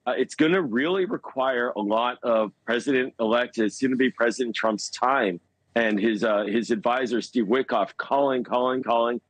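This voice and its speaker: A man with a mid-pitched voice works through analysis as a television news guest. He is measured and halting, leaning on key words for emphasis. The sound is dry, with very little room in it. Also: dry recording. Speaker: foreign policy commentator, news guest. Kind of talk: live news interview analysis.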